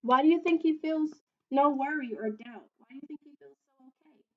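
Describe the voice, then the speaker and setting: A woman's voice: sits high, a little fast, muffled recording. A young woman reading a picture book aloud like a teacher, dramatically stretching out words and putting questions to children. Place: small indoor playroom.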